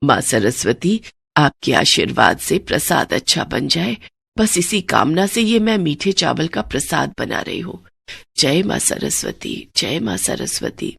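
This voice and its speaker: Casting: woman voicing a devout working mother of sixty, gentle, deferential, slightly low and dry. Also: big pitch swings, a little slow, vocal fry, slightly rough, dry recording, heavily acted. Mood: prayerful. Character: humble, devout, hardworking sixty-year-old mother and labourer.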